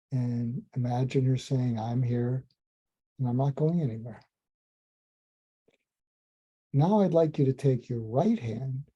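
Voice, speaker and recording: A man, a psychiatrist, calmly recounting a case in a slow, soothing, almost hypnotic way, with frequent ums and restarts. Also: mid-range voice, slightly rough. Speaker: psychiatrist telling a clinical story. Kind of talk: calm storytelling monologue. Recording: dull lo-fi sound.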